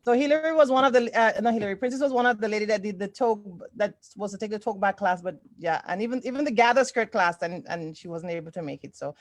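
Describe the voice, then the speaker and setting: A woman's voice: medium pitch, quick pace, hardly any pauses, no room sound. Woman hosting a dry, close-sounding livestream. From Toronto, Canada. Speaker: host of a sewing group. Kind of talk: casual livestream chat. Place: indoors, on a group video call.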